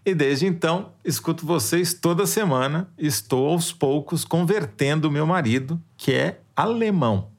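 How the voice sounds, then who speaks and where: A man's voice: fairly high pitch, animated, normal speed, few pauses. A Brazilian man co-hosting a podcast from home, reading a listener email with dry, wry humor. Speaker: podcast co-host. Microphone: remote home mic.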